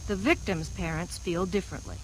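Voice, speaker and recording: A woman's voice: lowish voice, a little fast, rough recording. A woman with a North American accent delivering scripted news documentary narration as a television reporter. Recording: faint noise, old TV broadcast tape.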